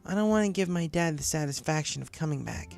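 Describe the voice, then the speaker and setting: A man's voice: a little high, expressive, fast, creaky, a little mellow, faint music behind, voice pushed up. A man voicing a brash, tomboyish young female pegasus pony with a casual, blunt way of talking in a story reading. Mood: awkward, troubled.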